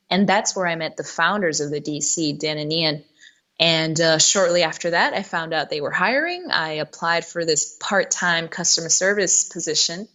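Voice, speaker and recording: A young woman from Spain talks easily as an interview guest. Spanish is her first language. She has a relaxed manner and a somewhat low voice. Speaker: guest, event planner. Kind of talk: casual interview answers.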